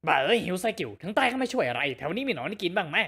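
Man voicing an excitable cheeky cartoon character. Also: high voice, rapid-fire, vocal fry, husky, full character voice. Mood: playful.

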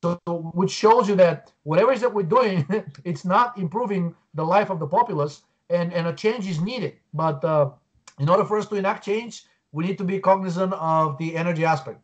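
A man's voice: high voice, fairly quick. A man in his forties from Bulgaria, a guest on a video call, explaining earnestly with frequent restarts. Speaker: guest researcher. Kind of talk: interview over video call. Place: home room, indoors. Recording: dull lo-fi call audio.

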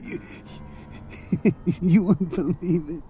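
An actor playing a weak, exhausted, dying crewman in a radio drama, speaking in dazed, halting, broken phrases. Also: high-pitched, fairly even intonation, dark tone, slight background noise. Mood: dazed, struggling.

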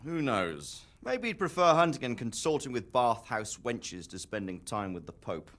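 A man's voice: mid-range voice, big pitch swings, normal speed, fairly bright tone. An actor playing a dignified knight and father who questions others politely. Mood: courteous. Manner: courteous, probing. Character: dignified older male knight, courteous and probing.

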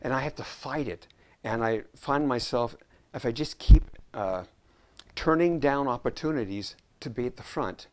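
A man in his sixties, a scriptwriter chatting as a guest in a relaxed interview, mixing jokes with earnest stories. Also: medium pitch, normal speed. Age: 60s. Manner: joking, earnest storyteller. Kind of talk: casual interview chat. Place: living room set, indoors.

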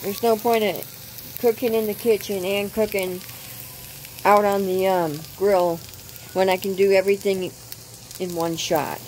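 Woman host, casual cooking demo, unhurried and halting, recorded on a phone by the grill. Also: medium pitch, fairly even intonation, a little mellow, a little hiss or hum, low-quality recording. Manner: unhurried, hesitant with frequent ums. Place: outdoors at a gas grill.

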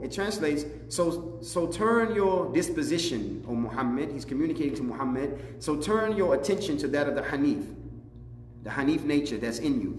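A middle-aged man, an imam, giving a religious teaching address in a deliberate, explanatory way, repeating phrases for emphasis. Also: a little high. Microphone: lapel mic. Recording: echoey room, reverb, faint background noise.

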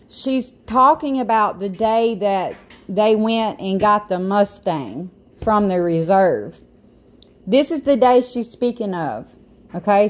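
Slow-talking Southern US woman commentator. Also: mid-range voice, darkish tone. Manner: emphatic, incredulous. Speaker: YouTube commentator. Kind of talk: casual opinion commentary to viewers.

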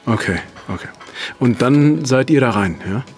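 An actor playing a careful, reassuring interviewer who speaks hesitantly and tactfully in an audio drama. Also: male voice, medium pitch, animated, normal speed, a little mellow, soft background music, lightly acted. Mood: probing.

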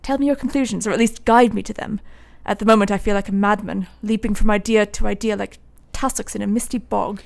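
A woman's voice: mid-range voice, steady tone, a little fast, creaky, few pauses, close to an everyday voice. A woman playing a troubled, sceptical male doctor in a radio drama, speaking in an earnest, articulate, formal way. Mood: frustrated, disbelieving.

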